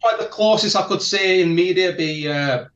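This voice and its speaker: A man from South Yorkshire with a strong Yorkshire accent, chatting as a guest and telling his martial arts story slowly and carefully, often laughing. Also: high voice, fairly bright tone. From England (Barnsley, South Yorkshire). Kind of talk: casual interview chat. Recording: echoey room, dull lo-fi audio.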